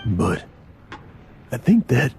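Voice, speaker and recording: An actor playing a humble, emotional man in a video game, shaken and apologetic, with a gravelly voice. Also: medium pitch, huge pitch swings, slow pace, a little mellow, soft background music, heavily acted. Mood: overwhelmed, grateful. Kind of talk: English video game voice acting.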